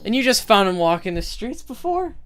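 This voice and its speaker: A man's voice: high voice, big pitch swings, brisk, fairly bright tone, a little hiss or hum. A man giving casual, sarcastic, nitpicking commentary over video game footage. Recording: game music behind commentary.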